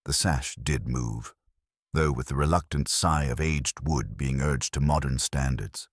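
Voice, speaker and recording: A man reading scripted story narration in a measured, literary storytelling manner. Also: very deep voice, husky, dry recording, studio quality.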